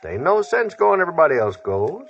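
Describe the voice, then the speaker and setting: An actor playing a sly, folksy prospector in a radio western, with an American Western drawl and rustic, cajoling talk. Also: male voice, on the high side, big pitch swings, quick pace, gravelly, slight background noise. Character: sly, folksy old-West prospector, hard-bitten tall-tale teller. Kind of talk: old-time radio western acting. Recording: dull vintage radio recording.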